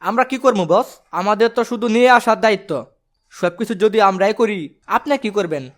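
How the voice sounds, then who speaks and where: Dim cartoon henchman acted by a man: high, fast, naive and defensive. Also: close to an everyday voice. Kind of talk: animated cartoon voice acting.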